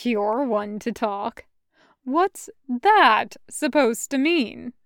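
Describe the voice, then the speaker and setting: A woman's voice: on the high side, expressive, very slow, gravelly, no room sound, full character voice. A woman voicing a sardonic, irritable female assassin, mocking and dry, in a sci-fi audiobook. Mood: dry, needling.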